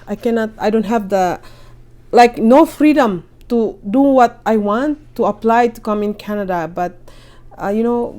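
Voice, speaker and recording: Woman vlogger at home, mellow voice, talks straight to camera, encouraging, keeps checking in with viewers. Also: fairly high pitch. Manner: chatty and encouraging, often checks in with "okay?" and "you know". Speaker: vlogger giving caregiver job advice. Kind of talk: casual advice vlog to camera. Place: at home in Canada.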